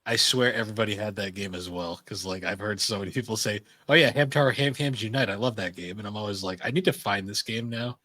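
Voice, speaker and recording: Guy podcast cohost, crisp forward bright voice, dry close sound, rambly casual geek chat. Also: on the low side, fast, few pauses, dry recording. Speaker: podcast co-host. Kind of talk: casual chat about anime and games.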